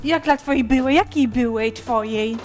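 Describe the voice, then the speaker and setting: Woman acting a high-voiced, clingy, coaxing girlfriend. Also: moderate pace, soft background music, close to an everyday voice. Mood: jealous, whiny. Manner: cooing, pleading, pet-name wheedling. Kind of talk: comic podcast radio play.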